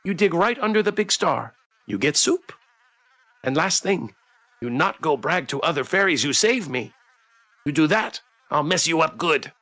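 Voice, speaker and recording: A man's voice: fairly high pitch, animated, normal speed, bright, forceful tone, voice pushed up. A man voicing a small, scrappy fairy who talks in clipped broken English, grateful but cocky. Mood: warning.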